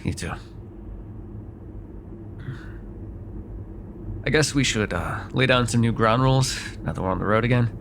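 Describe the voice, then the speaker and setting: A man's voice: medium pitch, fast talker, creaky, fairly bright tone, a little hiss or hum. A man playing a sardonic, grudgingly apologetic vampire in an immersive roleplay, talking in a wry, hesitant, conversational way with some swearing. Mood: awkward, apologetic. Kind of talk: immersive ASMR roleplay acting, one side of a dialogue.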